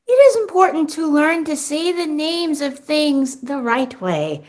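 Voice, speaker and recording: A woman's voice: high-pitched, animated, a little slow, a little mellow. A young woman playing an exasperated, sarcastic mall mascot in a comic radio play, with theatrical delivery. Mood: sarcastic, lecturing. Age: young adult. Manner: theatrical, sarcastic, big exclamations.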